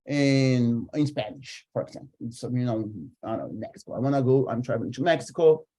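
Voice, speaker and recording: A man who speaks Portuguese natively presents a software demo in a mid-pitched, relaxed, mellow voice. He explains each step casually as he does it. His delivery is halting, as if thinking aloud while he works. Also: poor audio. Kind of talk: live screen-share demo, casual explanation.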